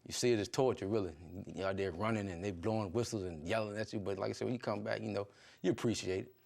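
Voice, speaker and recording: Male coach from Virginia, middle-aged, lower register, rapid casual delivery with colloquial phrasing. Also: steady tone, creaky, a little mellow. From Virginia, United States. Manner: colloquial, peppered with "you know". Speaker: high school football coach, interview guest. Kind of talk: casual chat.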